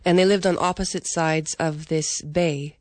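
Mellow low-voiced woman telling stories. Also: steady tone, slow pace, no room sound. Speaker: singer and pianist being interviewed. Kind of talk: unscripted interview storytelling.

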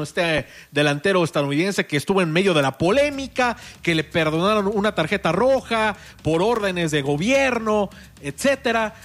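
High-voiced Mexican radio host. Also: male voice, a bit bright. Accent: Mexican Spanish. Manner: chatty, many fillers and restarts. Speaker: radio sports show host. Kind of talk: casual radio chat. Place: radio studio.

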